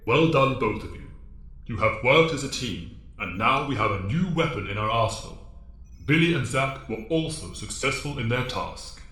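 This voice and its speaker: A man's voice: mid-range voice, lively intonation, moderate pace, a bit bright, natural voice. A man playing a wise, commanding mentor in an audio drama, speaking formally and solemnly. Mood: measured. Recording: echo effect over music bed.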